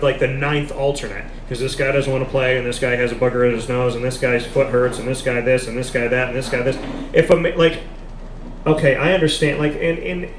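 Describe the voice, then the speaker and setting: Emphatic man in an echoey café. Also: mid-range voice. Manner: emphatic, repeats points for stress. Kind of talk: live casual sports discussion. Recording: echoey café with background noise.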